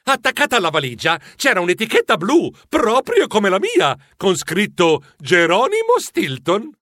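An actor playing an excitable, anxious mouse who tells his own story in a children's audiobook, dramatic and expressive. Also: high-pitched, moderate pace, dry recording, clean studio sound, full character voice. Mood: narrating, weary. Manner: dramatic, expressive, breathless exclamations. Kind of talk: children's audiobook first-person narration.

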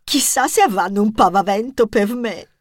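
A woman's voice: medium pitch, animated, moderate pace, no echo, clean studio sound, close to an everyday voice. A woman voicing a vain talking rose with an airy, self-satisfied manner. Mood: pleased.

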